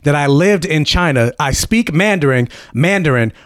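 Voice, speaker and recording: A man chatting casually on a podcast, retelling a news story and reading quotes out slowly, often trailing off and restarting. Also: a little high, expressive, clean tone, dry recording, clean studio sound. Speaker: podcast co-host.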